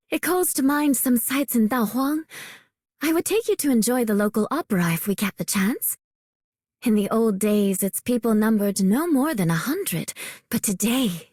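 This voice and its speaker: A woman's voice: fairly high pitch, expressive, unhurried, a little mellow, slightly rough, dry recording, studio quality. A woman voicing a cheerful, reflective video game character who speaks fondly. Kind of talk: game character voice acting, anniversary message.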